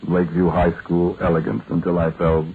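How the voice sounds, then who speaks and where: Solemn soldier telling his tale, really low voice, measured, old radio show, tinny sound. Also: little pitch movement, gravelly. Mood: wistful, stirring. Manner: measured, deliberate, pausing mid-sentence. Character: modern soldier narrator, solemn, haunted storyteller. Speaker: narrator and lead character. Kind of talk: dramatic first-person radio storytelling. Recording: tinny, noisy vintage radio recording.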